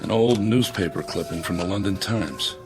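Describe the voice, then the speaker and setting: A low-pitched, earnest army major played by a male actor in a radio drama. He is measured and deferential, yet curious and a little unsure. Music plays clearly under his voice. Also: close to an everyday voice. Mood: businesslike, intrigued.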